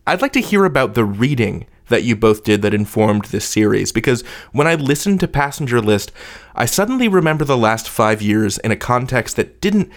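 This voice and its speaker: A man's voice: mid-range voice, very animated, moderate pace. A man hosting a podcast, articulate and wordy, moving between scripted intros and conversational interviewing.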